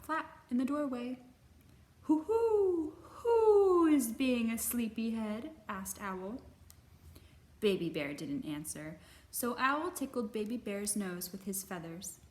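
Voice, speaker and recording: A woman hosting a children's storytime, reading a picture book aloud in an expressive, animated way with sound effects. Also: medium pitch, measured pace, roomy sound. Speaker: children's librarian, storytime host.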